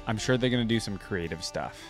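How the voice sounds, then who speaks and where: A man's voice: fairly low pitch, a little fast, soft background music. A man giving casual reaction commentary as a host, thinking aloud in short, informal remarks.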